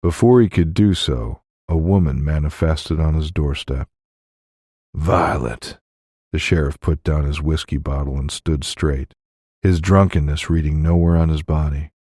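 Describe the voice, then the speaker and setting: A man reading scripted fiction as an audiobook narrator, in a measured, deliberate way on a close mic. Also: a bass voice, dark tone, no room sound.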